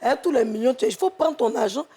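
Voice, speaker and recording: A woman's voice: a little high, lively intonation, fast talker, vocal fry, very noisy. A woman with an Ivorian accent talking casually as a panelist, colloquial and outspoken. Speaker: talk show panelist and presenter.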